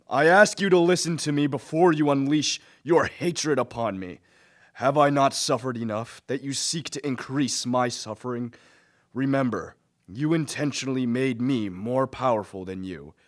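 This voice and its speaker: A man acting a lonely, spurned man-made monster in a radio play, earnest and deliberate in delivery. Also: medium pitch, a bit bright, no echo, studio quality, close to an everyday voice. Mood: pleading, wounded. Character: lonely, spurned man-made monster, pleading, menacing, childlike when newborn.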